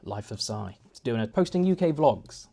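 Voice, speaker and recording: A man's voice: medium pitch, sing-song, moderate pace, gravelly, dark tone, slightly rough. A young man chatting at home in a casual vlog, rambling and self-deprecating with many restarts. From United Kingdom. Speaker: vlogger. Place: home, indoors.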